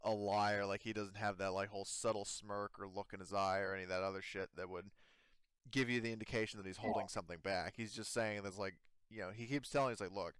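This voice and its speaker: Low, clean-voiced man improvising game narration. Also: steady tone, fairly bright tone, clean tone, no echo. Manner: halting, meandering, casual. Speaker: game master.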